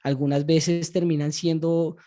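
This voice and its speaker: A man co-hosts a conversational podcast. He explains things at an unhurried pace, stretching out his sentences and pausing with filler sounds. His delivery stays steady and thoughtful. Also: on the high side. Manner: measured, drawn-out explaining with many fillers. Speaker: podcast co-host.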